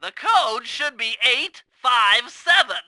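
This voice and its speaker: Video game role: brainy young male turtle gadget expert. Extremely sharp, bright tone, fussy technical delivery, very dry close recording. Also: very high-pitched, animated, slow pace, no echo, heavily acted. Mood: earnest instructing. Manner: precise, wordy, technical instructing. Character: nerdy young male cartoon turtle, brainy, earnest gadget-minded strategist. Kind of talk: video game character voice acting.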